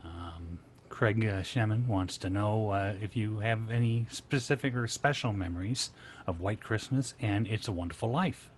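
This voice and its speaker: A man relaying audience questions to a panel, pausing often as he goes. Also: on the low side, slight background noise. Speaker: co-host reading audience questions.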